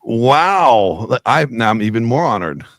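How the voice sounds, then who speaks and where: A man's voice: mid-range voice, very animated, slow pace, gravelly, no room sound, studio quality. A middle-aged man speaking earnestly as a guest on a video call interview, rambling with many fillers. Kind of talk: casual interview chat.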